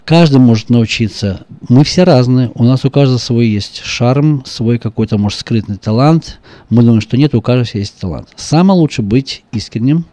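A man's voice: mid-range voice, mellow, dry recording. A middle-aged man, a car salesman guest on a radio show, chatting casually and telling boastful, joking stories. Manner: boastful, joking, rapid storytelling.

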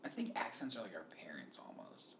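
A man's voice: lowish voice, brisk, whispered. Jokey indiana guy hosting a podcast, slight twang, echoey room. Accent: Indiana Midwestern twang. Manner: excitable, laughing, joking. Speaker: podcast host. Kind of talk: casual chat.

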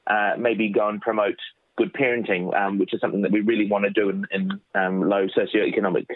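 A man's voice: fairly low pitch, little pitch movement, brisk, dry recording. New man on a tinny line. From New Zealand. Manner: rambling with many restarts. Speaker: children's musician, guest. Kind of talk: casual interview chat. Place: home office studio. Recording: dry phone-like line.

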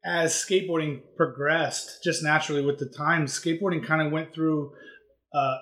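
A man's voice: a little high, steady tone, normal speed, a bit bright, reverberant. A man in his forties from the DC area, telling rambling, nostalgic stories in a casual chat. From Maryland and Virginia, near DC. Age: 40s. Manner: rambling, nostalgic, restarts often. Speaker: skate shop owner, guest.

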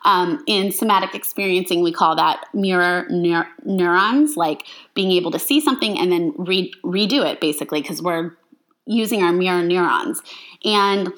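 A woman's voice: on the low side, normal speed, a bit bright. A woman hosting a casual interview, congested as she gets over being sick, eager and effusive, often repeating herself. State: congested, getting over illness. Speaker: podcast host.

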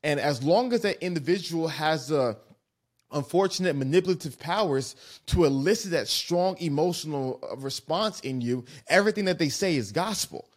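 A man's voice: a little high, expressive, normal speed. A young man hosting a podcast, talking in an earnest, reflective way and building his points at length. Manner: earnest, reflective, long-winded. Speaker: podcast host. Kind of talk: casual podcast conversation.

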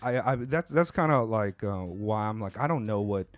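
A man's voice: fairly low pitch, animated, normal speed. A man in his thirties from the United States interviewing a musician in a chatty, self-joking way. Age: 30s. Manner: chatty, self-deprecating, frequent restarts. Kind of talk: casual interview.

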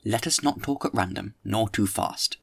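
A man's voice: lowish voice, moderate pace, creaky, breathy edge, dry recording. A man voicing a pompous, tipsy young orator who preaches grandly at a dinner in an audiobook. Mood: pompous admonishing.

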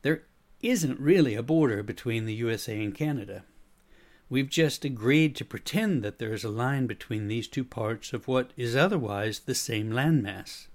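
A middle-aged man with an American accent slightly tinged with Swedish, delivering a prepared explanatory monologue in a measured, didactic manner. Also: mid-range voice. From United States. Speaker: podcast host, speaker and consultant.

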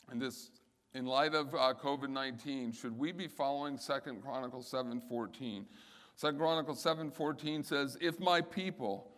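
A man teaching a Bible study, halting and restarting phrases and slowing down on key words. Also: a little high, fairly bright tone, clear, resonant tone. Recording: long hall reverb.

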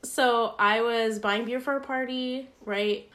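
A woman's voice: fairly high pitch, fairly even intonation, takes it slowly, a bit bright. A woman in her twenties, co-hosting a casual chat and telling self-deprecating stories with frequent giggles. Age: 20s.

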